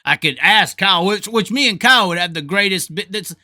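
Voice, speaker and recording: A man's voice: sits high, fairly quick, bright, forceful tone. A man hosting a talk show, giving blunt, folksy, opinionated casual commentary with some swearing and repeated phrases for emphasis. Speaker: host of a motorsports talk show.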